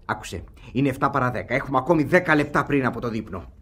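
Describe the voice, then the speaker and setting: An actor playing an excitable seducer thief in a radio comedy, exclaiming theatrically. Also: male voice, medium pitch, breathy edge. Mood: eager.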